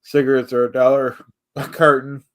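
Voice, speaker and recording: A man's voice: medium pitch, level tone, normal speed, fairly bright tone, close to an everyday voice. A man playing a laid-back sidekick who agrees with his partner on a comedy stream. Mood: agreeable. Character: easygoing male sidekick of a hustler. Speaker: stream co-host.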